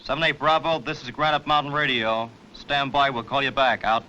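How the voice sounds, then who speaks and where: A man with a bright, forceful voice works a radio station. His calls are crisp and by the book. The vintage sound is dull with faint noise. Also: medium pitch, flat delivery, brisk. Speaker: relay station radio operator.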